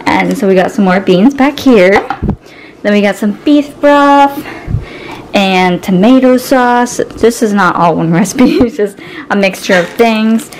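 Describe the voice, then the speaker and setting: A woman's voice: mid-range voice, a little slow, a little hiss or hum, rough recording. A young woman from Canada in her twenties, chatting casually through a grocery haul in her home kitchen, upbeat and listing items as she goes. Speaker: vlogger. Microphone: camera mic, handheld filming.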